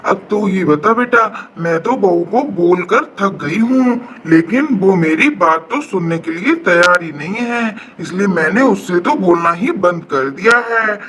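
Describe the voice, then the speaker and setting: A man's voice: high-pitched, lively intonation, measured pace, hardly any pauses, fairly bright tone, a little hiss or hum. A man voicing an aggrieved, scheming elderly mother-in-law who complains like a martyr in a cartoon family melodrama. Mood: complaining. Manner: complaining, self-pitying, martyred.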